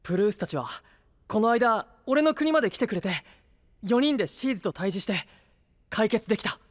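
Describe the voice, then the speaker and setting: Animated, idealistic young knight. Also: male voice, high-pitched, a little fast. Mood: eager, hopeful. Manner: sincere, eager, expressive. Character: earnest, idealistic, hot-blooded young male knight. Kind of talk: Japanese anime audio drama acting.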